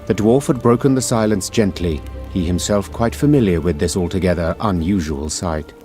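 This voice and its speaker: A low-voiced man acting as storyteller narrates a war saga for a fantasy game. He is measured and solemn, with music underneath. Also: warm tone, close to an everyday voice. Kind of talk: scripted dramatic storybook narration.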